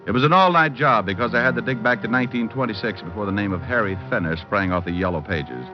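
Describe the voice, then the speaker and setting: Low-voiced wry private eye played by a man. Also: fast, lightly acted. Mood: wry, cool. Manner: wry, dry wit. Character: wisecracking, cool male private detective. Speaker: lead and narrator. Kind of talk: 1940s-50s hardboiled radio narration. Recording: dull old radio recording. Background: music.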